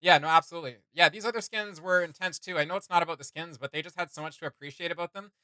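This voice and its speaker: Bright-voiced man, dry close sound. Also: a little high, very fast, dry recording. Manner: rambling, enthusiastic, slangy. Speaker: reaction video host. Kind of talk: casual reaction chat.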